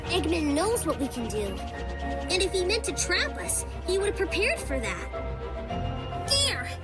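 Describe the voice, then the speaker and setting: A woman's voice: very high-pitched, big pitch swings, measured pace, heavily acted. A woman voicing a brainy, earnest little boy fox inventor in a fan comic dub, speaking precisely like a young scientist. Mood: confident. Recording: loud music behind.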